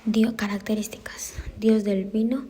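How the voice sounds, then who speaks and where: A woman's voice: medium pitch, flat delivery, measured pace, mellow, slightly rough. A woman dictating study notes aloud, often in a whisper, repeating items and spelling words out as she goes.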